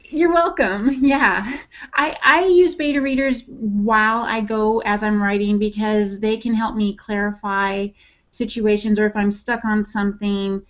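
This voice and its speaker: A woman's voice: medium pitch, fairly even intonation, measured pace, highs cut off. A woman co-hosting a podcast as a writer, talking in a casual, conversational way.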